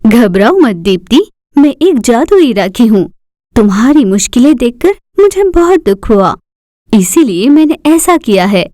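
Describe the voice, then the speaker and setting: A woman's voice: on the high side, sing-song, unhurried, warm tone, no room sound, clean studio sound. Woman voicing a kind magical talking bracelet. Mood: reassuring. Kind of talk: animated fairy tale dialogue.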